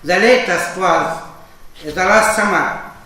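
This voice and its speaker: Halting man speaking in an echoey hall. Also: sits high, pushed and bright. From Lebanon. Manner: halting, many fillers and restarts. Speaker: panelist, association chairman. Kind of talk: panel debate statement. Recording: echoey hall, distant mic, faint noise.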